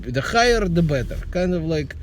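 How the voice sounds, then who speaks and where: A man's voice: fairly high pitch, sing-song, normal speed, slight background noise. A middle-aged man with a Russian accent, a vlogger joking dryly and rambling in a casual monologue while driving his car. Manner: dry joking, rambling with restarts.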